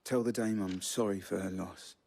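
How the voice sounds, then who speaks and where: An actor playing a weary, pragmatic soldier in a game cutscene, rough-voiced, plain-spoken and resigned. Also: male voice, low voice, steady tone, moderate pace, darkish tone. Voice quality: gravelly, rough. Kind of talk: dramatic video game cutscene acting.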